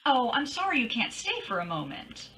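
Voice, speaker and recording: High, animated radio lady detective played by an actress. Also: normal speed, distant mic, heavily acted. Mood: friendly, gushing. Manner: dramatic, wry, teasing. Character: witty, feisty woman private detective narrating her case. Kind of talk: 1940s radio detective acting.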